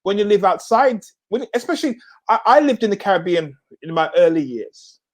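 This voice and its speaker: Host, male, middle-aged, raised in Jamaica; high-pitched, halting restarts, punchy repeated slogans. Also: big pitch swings. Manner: stammering repeats, laughs often, emphatic slogans. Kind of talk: casual chat.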